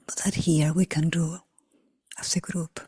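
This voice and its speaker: A woman guides a group meditation in a low, very husky and breathy voice that hovers near a whisper. She moves slowly, leaving long silences between short phrases. The sound is close and dry. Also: little pitch movement, a little mellow, no echo. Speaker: meditation teacher.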